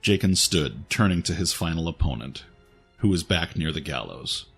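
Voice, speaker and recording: A man's voice: very deep voice, lively intonation, moderate pace, creaky, a bit bright, slightly rough. A man narrating a scripted dramatic story as its storyteller. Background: faint background music.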